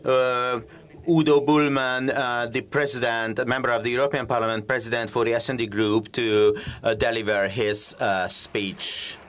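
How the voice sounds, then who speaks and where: Man: flat, hesitant announcer. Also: mid-range voice, normal speed, slight background noise. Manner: hesitant, with repeated fillers. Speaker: event host introducing a speaker.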